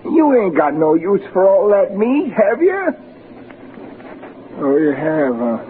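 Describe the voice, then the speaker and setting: A man's voice: sits high, big pitch swings, takes it slowly, full character voice. An actor in 1940s radio style playing a shifty, streetwise old vagrant man who wheedles and rambles in slang. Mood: pleading. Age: older. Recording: muffled, noisy 1940s radio recording.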